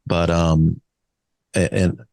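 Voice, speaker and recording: A man's voice: low voice, little pitch movement, takes it slowly, creaky, darkish tone, dry recording. A man in his sixties hosting a conversational interview, easygoing and asking open questions. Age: 60s. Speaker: podcast host.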